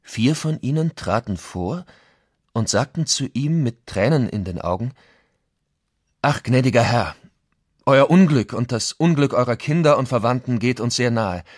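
A man's voice: mid-range voice, dry recording, clean studio sound, close to an everyday voice. A man playing a Spanish conquistador who recounts events in a formal memoir for an audio documentary. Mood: solemn, pleading. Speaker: reader of historical quotations. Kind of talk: dramatic reading of old chronicles.

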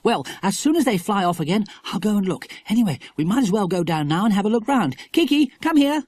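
A narrator voicing an excited, bold and bossy schoolboy in a children's adventure story, with eager, exclamatory delivery. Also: medium pitch, fast, slightly rough, no echo, voice pushed up. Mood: brisk.

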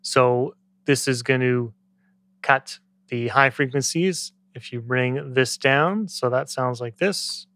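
A man in his thirties giving a casual software tutorial as a music production tutor, speaking into a close studio microphone. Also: mid-range voice, takes it slowly, no echo. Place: small indoor home studio. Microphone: close studio microphone on boom arm.

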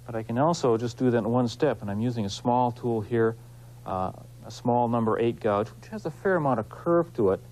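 A man hosting an instructional craft demonstration, explaining informally and in a folksy, unhurried way while he works with his hands. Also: mid-range voice, darkish tone, poor audio. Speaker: woodcarving instructor, show host.

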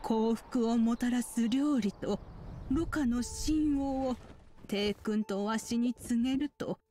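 Slow-speaking wise old lady sage. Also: on the high side, mellow, slight background noise. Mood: solemn storytelling. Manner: archaic elderly speech, measured and solemn. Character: wise, kindly elderly woman sage recounting ancient legends. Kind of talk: Japanese video game storytelling, solemn narration.

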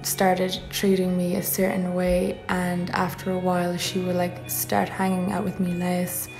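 Low monotone woman telling her story. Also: measured pace, creaky, music in the background. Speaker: interviewee. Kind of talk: personal testimony.

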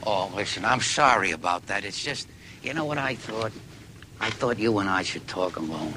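An actor playing a dogged, deferential police detective, speaking in halting, folksy, musing phrases. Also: male voice, lowish voice, animated, bright, forceful tone. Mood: polite, apologetic. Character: dogged, deferential, rumpled male police detective closing in on a killer. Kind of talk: dramatic dialogue acting. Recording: noticeable background noise.

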